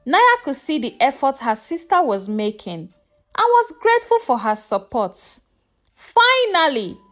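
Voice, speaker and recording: A woman reading a scripted folktale as a storyteller, in an expressive, dramatic way. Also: high-pitched, unhurried, soft background music.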